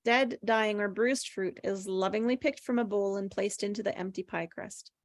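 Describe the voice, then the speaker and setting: A woman's voice: mid-range voice, normal speed, no room sound, studio quality. A woman reading screenplay stage directions aloud as scripted narration at a table read.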